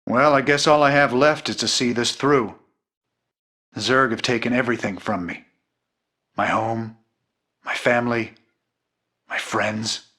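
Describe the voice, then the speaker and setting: An actor playing a gruff, weary, stubborn human soldier in a video game, plain-spoken and dogged in his colloquial talk. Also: mid-range voice, steady tone, unhurried, pauses often, sharp tone. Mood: bitter resignation.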